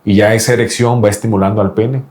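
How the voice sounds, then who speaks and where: Fast-talking low-voiced male doctor. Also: fairly even intonation, darkish tone. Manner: explanatory, insistent, often repeats the listener's name. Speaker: doctor specializing in reproductive andrology. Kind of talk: explanatory interview talk with a patient.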